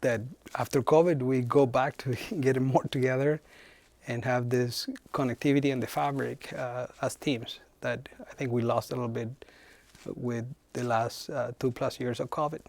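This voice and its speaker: A middle-aged man speaks in a thoughtful, unhurried way and often hesitates mid-sentence. His voice sits at an ordinary middle pitch. The recording is dry, with almost no room sound. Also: fairly even intonation, gravelly, darkish tone, no room sound. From Chile. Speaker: head of human resources. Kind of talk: interview answers.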